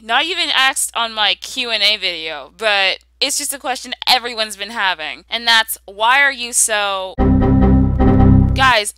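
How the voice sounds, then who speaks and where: A woman's voice: fairly high pitch, bright, forceful tone, clean tone, dry recording. A woman hosting a casual question-and-answer voice-over, with a blunt, sassy manner that flips between sweet and glum. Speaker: YouTuber host answering viewer questions.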